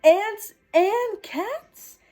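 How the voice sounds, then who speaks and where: A woman's voice: very high-pitched, drawn-out words, darkish tone, faint music behind, voice pushed up, heavily acted. A woman voicing an eager young boy in a storybook, wondering aloud and hesitant. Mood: amazed, eager.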